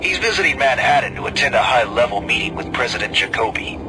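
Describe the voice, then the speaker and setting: An actor playing a confident, friendly military escort commander in a video game, talking like a crisp mission briefing. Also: male voice, medium pitch, expressive, quick pace, over music, close to an everyday voice, low-quality recording. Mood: assured. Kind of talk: video game cutscene acting.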